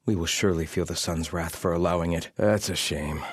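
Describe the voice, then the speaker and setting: An actor playing a haughty, devout soldier of a sun-worshipping empire in a video game, with a formal, disdainful delivery. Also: male voice, deep voice, steady tone, brisk, breathy edge, no room sound, studio quality. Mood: haughty, solemn. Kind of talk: scripted video game barks and lines.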